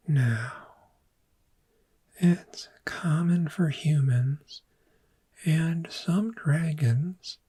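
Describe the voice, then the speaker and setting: A woman playing a calm, gentle dragon therapist in a soft-spoken ASMR roleplay, speaking in a breathy, soothing whisper with long pauses. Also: low voice, exaggerated voice. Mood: calm, reassuring.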